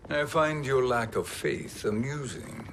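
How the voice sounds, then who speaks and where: A slow, sly sorcerer. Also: male voice, mid-range voice, fairly bright tone, a little hiss or hum. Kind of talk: video game cutscene acting. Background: orchestral game music.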